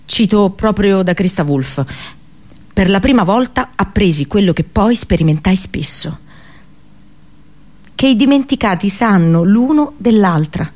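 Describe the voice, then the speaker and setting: A woman actor delivering a theatrical radio drama monologue, dramatic and halting, with deliberate pauses and repetitions. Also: mid-range voice, expressive, darkish tone. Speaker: actress performing a monologue.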